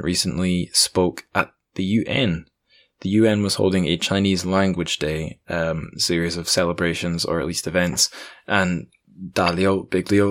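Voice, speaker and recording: Guy with a low voice doing casual podcast hosting, kinda wry and chatty. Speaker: podcast host.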